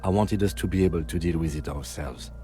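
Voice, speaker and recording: An actor playing a calm, reserved police lieutenant who speaks formally in a video game. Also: male voice, sits low, a little fast, soft background music, lightly acted. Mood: composed.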